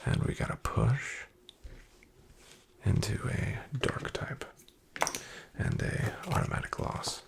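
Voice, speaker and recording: A man playing a shady, guarded back-alley card dealer in a soft-spoken ASMR roleplay, half-whispering his clipped, unhurried patter with a gravelly creak. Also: background noise, close to an everyday voice. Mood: cool, businesslike.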